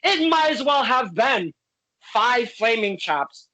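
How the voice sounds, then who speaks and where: A man's voice: very high-pitched, big pitch swings, takes it slowly, sharp tone. A man from Connecticut hosting a casual interview podcast, boisterous and playful, swearing freely. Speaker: podcast host.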